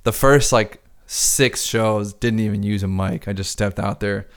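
A man's voice: fairly low pitch, fairly even intonation, measured pace, clear, resonant tone. A man who works as a DJ, chatting casually on a podcast, rambling with lots of filler words and swearing freely.

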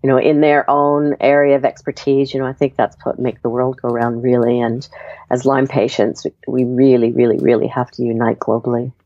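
A woman's voice: rumbling low, level tone, moderate pace, warm tone, no room sound, highs cut off. A woman from Canada with a mixed Canadian and Australian accent, chatting as an interview guest and telling her story with lots of fillers. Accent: Canadian with Australian tinge. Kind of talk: casual conversational interview.

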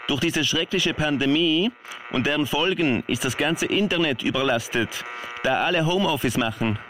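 A man's voice: fairly high pitch, normal speed, pushed and bright. A man playing a formal, earnest Swiss government minister delivering grave official news in a radio play. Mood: solemn, urgent. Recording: faint noise and clicks.

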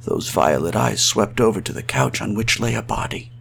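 A man's voice: fairly low pitch, expressive, normal speed, gravelly, slightly rough, heavily acted. A male actor playing a tormented spirit trapped outside his body, in a florid, old-fashioned melodramatic horror monologue. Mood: haunted, grave. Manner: theatrical, florid, swelling from hushed to frantic cries.

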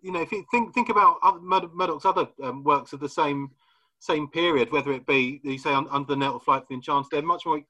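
A man's voice: a little high, fast talker, pushed and bright, highs cut off. A man hosting an academic podcast, developing literary points with frequent word repeats and restarts. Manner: fluent but restarting, repeats words as he builds a point. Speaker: podcast host.

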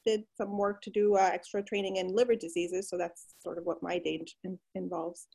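A woman physician who grew up in Pakistan and the United States, answering interview questions with many pauses and restarts. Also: fairly low pitch, flat delivery, vocal fry, breathy edge, low-quality recording. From Pakistan, raised in the United States. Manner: hesitant, many fillers and restarts, warms up when enthused. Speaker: physician guest.

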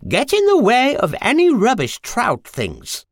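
A man voicing a vain, haughty female witch in a storybook, with a shrill, bossy, dramatic manner. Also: wildly swooping pitch, unhurried, dry recording, studio quality, exaggerated voice. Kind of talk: storybook character voice.

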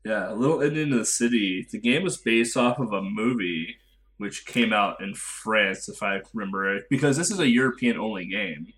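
American male co-host, fairly low voice, loose and self-deprecating. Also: normal speed, fairly bright tone. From United States. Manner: rambling, filler-heavy, self-deprecating. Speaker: podcast co-host. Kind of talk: casual podcast chat.

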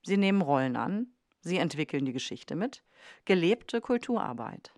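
A woman's voice: on the low side, big pitch swings, moderate pace, a little mellow, dry recording, clean studio sound. A woman host reading scripted documentary narration for a podcast. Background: faint music.

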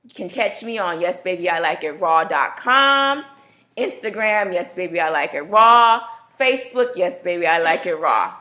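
A woman's voice: lowish voice, lively intonation, normal speed, sharp tone. A woman hosting a health radio talk show, talking casually and chatting with a laugh in her voice.

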